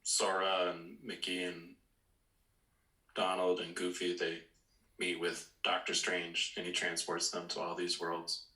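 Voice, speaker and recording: A man co-hosting a podcast in casual chat, speaking in a halting, informal way. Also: low voice, monotone, sharp tone. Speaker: podcast co-host. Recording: roomy, echoey sound.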